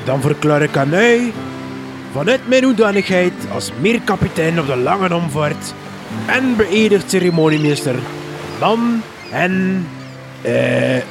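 A man's voice: sits high, animated, measured pace. A man playing a pompous sea captain in a Flemish radio comedy-horror drama, speaking in formal, theatrical declamation. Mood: ceremonial. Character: pompous old sea captain officiating a wedding, awestruck and rambling. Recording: loud music over the voice.

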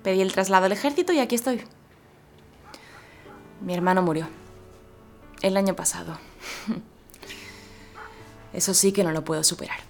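A woman performs a grieving but proud army telegraphist in a radio play. The voice is mid-pitched, earnest and respectful. Soft music runs underneath. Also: normal speed, gravelly, pauses often, lightly acted. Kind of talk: Spanish historical audio drama.

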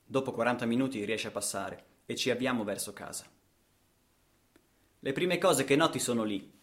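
A man's voice: mid-range voice, moderate pace. A man performing a radio theatre monologue as a storyteller, with dramatic, rhythmic delivery full of repetitions and rhetorical questions. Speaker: actor and storyteller.

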